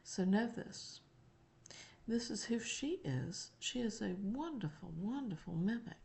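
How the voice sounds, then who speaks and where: A woman voicing a folksy, elderly gentleman and former president in an emphatic, deliberate, profane channeled monologue. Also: medium pitch, lively intonation, vocal fry. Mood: insistent, emphatic. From North Carolina, United States. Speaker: spiritual channeler.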